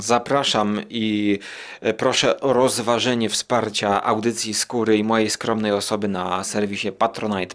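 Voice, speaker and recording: A man hosting a podcast in a casual, digressive monologue, musing aloud with hesitant pauses and tag questions. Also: lowish voice. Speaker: podcast host.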